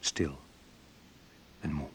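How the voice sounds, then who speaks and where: A man's voice: very slow, slight background noise. An actor playing a wry, reflective man who narrates memories of his youth in an intimate radio drama, confiding and a little hesitant. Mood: quiet, sad. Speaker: narrator and main character of a radio play.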